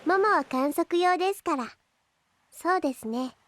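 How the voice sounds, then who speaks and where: High, childlike little android girl. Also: animated, a little slow, fairly bright tone, a little hiss or hum, exaggerated voice. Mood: thoughtful. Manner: childlike, polite, careful. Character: polite little android girl, earnest and sweet. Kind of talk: Japanese anime-style audio drama acting.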